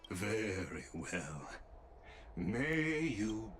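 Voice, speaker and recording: An actor playing a solemn, ceremonial official presiding over a vote in a video game, formal and deliberate. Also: male voice, fairly low pitch, sing-song, fairly bright tone, a little hiss or hum, heavily acted. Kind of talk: dramatic video game acting.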